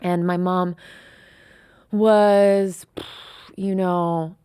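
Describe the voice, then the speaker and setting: Low-voiced woman in her thirties, dry sound. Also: little pitch movement, very slow, dark tone, clean tone, dry recording. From France. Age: 30s. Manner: reflective, open, uses frequent fillers. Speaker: podcast guest, filmmaker. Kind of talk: candid interview conversation.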